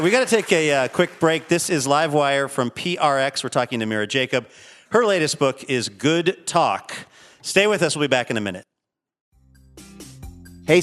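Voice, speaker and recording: An American man hosts a radio show in a medium-pitched voice. He moves between announcements and conversational interview talk, with some music around his voice. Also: a bit bright.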